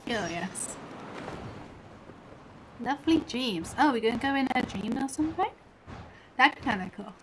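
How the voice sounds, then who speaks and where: A woman's voice: on the high side, animated, normal speed, long pauses, fairly bright tone, slight background noise, low-quality recording. A woman streaming a video game, chatting casually as she reacts to the story. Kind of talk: casual live gameplay reaction chat. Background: video game audio.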